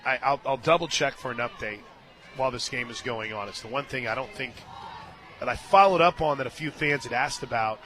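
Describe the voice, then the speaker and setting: A man calling softball live on radio as play-by-play announcer, mixing clipped pitch calls with conversational asides. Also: fairly quick. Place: radio booth at an outdoor softball stadium. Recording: live stadium booth, clear crowd noise. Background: stadium crowd.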